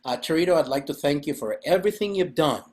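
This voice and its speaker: A man's voice: a little high, lively intonation, fast, darkish tone. A man, hosting a podcast in a rambling, chatty way and laughing often. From Philippines. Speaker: podcast host and festival organizer. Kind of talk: casual chat.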